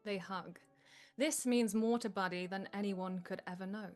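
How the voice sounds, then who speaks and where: Woman narrating over soft music. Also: mid-range voice, steady tone, normal speed. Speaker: narrator reading stage directions. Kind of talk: scripted narration at a live table read.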